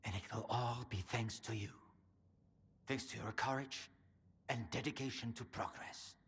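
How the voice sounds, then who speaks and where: An actor playing an earnest, grandiose scientist in a video game, speaking in lofty, pleading phrases. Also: male voice, mid-range voice, steady tone, moderate pace, fairly bright tone, husky, in a large hall. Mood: solemn, grandiose. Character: grandiose, anxious male scientist, visionary head of an institute.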